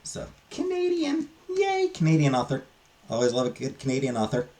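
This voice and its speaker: A man talking casually and unscripted as a book reviewer, in a halting, hesitant way with trailing asides. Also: mid-range voice, huge pitch swings, a little mellow.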